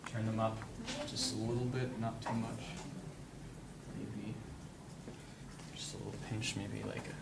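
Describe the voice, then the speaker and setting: A man giving a casual hands-on tutorial in an informal, halting way, thinking aloud as he works as an instructor. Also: on the low side, level tone. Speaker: instructor demonstrating audio equipment. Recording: clearly noisy room recording with handling sounds.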